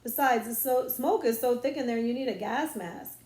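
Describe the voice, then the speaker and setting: A woman's voice: a little high, moderate pace, darkish tone, close to an everyday voice. A woman voicing an imaginative, bold young girl who plays at being a lofty queen in a children's storybook. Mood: confiding. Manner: lofty mock-archaic speech. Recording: roomy echo.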